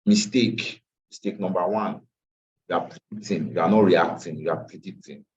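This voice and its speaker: Man, tired, lowish voice, distant dull lo-fi call audio. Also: moderate pace. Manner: repeats phrases, drops into Nigerian Pidgin asides. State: tired after a long day. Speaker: forex trading teacher. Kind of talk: live online class walking through charts.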